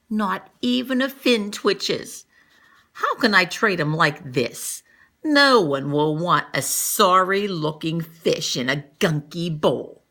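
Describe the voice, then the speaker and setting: A woman reads a picture book to young children in an expressive, teacherly way. Her pitch swoops with the story and her tone is bright, with a gravelly creak at times. She acts out moments and adds comments on the pictures.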